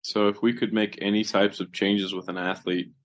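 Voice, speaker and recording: A man's voice: on the low side, moderate pace. A man co-hosting a podcast, talking in a loose, conversational way with strong opinions. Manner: conversational, animated opinions, many fillers. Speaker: podcast co-host, physical therapist. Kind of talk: casual podcast discussion.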